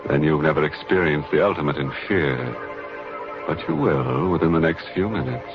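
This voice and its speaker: A man's voice: rumbling low, lively intonation, moderate pace. An American man playing a macabre, joking horror host in a theatrical, sardonic 1940s radio style. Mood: ominous. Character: macabre, joking male ghoulish host of a haunted sanctum. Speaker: host of a radio mystery series. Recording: muffled vintage 1940s radio recording, music.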